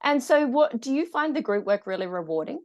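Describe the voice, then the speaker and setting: Woman, 40s, warmly enthusiastic podcast host speaking through an earphone mic on a call. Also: high-pitched, big pitch swings, fairly quick, highs cut off. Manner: enthusiastic, affirming, laughs often. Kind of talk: podcast interview. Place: bright room at home. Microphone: earphone mic on video call.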